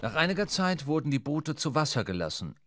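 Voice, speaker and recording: A man narrating a literary adventure for radio, with measured, suspenseful dramatic delivery. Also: on the high side, very animated, a bit bright, a little hiss or hum.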